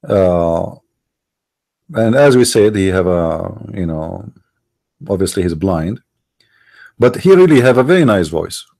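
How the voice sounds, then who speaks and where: A middle-aged man with an Arabic accent hosting a commentary monologue, halting, restarting words and stressing his points. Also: deep voice, expressive, creaky, mellow. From Middle East. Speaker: online show host.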